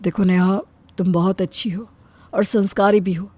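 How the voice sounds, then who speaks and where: A woman voicing a reluctant, earnest young man, a dutiful son, in an animated story. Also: mid-range voice, moderate pace, slightly rough, natural voice. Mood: regretful. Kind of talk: Hindi animated story acting.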